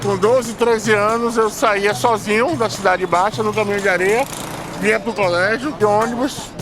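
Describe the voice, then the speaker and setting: A man's voice: high-pitched, fairly even intonation, normal speed, over music. Reflective man in his fifties. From Salvador, Bahia, Brazil. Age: 50s. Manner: reflective, plainspoken, casual swearing. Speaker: music producer. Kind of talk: documentary interview storytelling.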